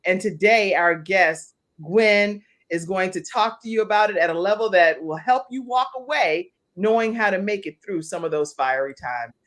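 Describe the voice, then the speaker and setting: A woman's voice: mid-range voice, moderate pace, few pauses, a bit bright. A woman hosting her own talk show, chatty and emphatic, welcoming guests and viewers. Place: virtual studio.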